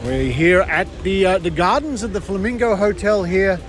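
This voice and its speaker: A man's voice: high-pitched, normal speed, over music. An Australian man hosting a podcast, chatting casually as he interviews a guest.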